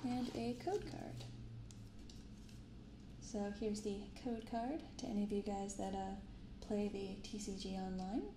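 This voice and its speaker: Soft-spoken young woman, creaky voice. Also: mid-range voice, fairly even intonation. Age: 20s. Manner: soft-spoken, gentle, unhurried. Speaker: host of a card-opening ASMR channel. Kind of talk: soft-spoken ASMR, casual unboxing chat. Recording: roomy binaural recording with clear background noise.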